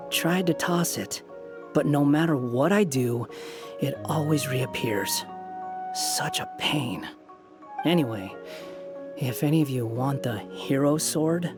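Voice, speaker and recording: A man's voice: medium pitch, lightly acted. A male actor playing an aloof, irritable young hero in a video game, speaking with dismissive sarcasm. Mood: bored, irritated. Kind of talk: English video game voice acting. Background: game music.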